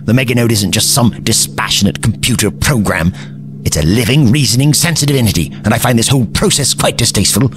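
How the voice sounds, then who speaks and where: A man's voice: mid-range voice, fast, over music, lightly acted. A man playing a crotchety, absent-minded old alien time traveller in an audio drama, with pompous, fussy, old-fashioned phrasing. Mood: indignant, haughty. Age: older.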